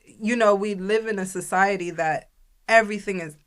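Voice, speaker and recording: A young woman co-hosts a casual podcast, spinning a story and slipping into the voices of the people she quotes. Her voice sits in a middle register, and she keeps the telling lively. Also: mid-range voice, normal speed, clear, resonant tone. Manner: animated storyteller acting out quoted dialogue. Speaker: podcast co-host.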